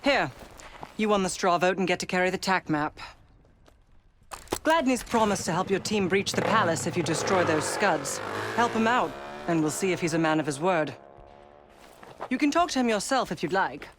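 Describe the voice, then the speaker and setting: An actress voicing a brisk, capable female British intelligence officer in a military video game, crisp and businesslike. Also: on the low side, lively intonation, lightly acted. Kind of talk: cinematic video game acting. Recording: loud vehicle and field noise.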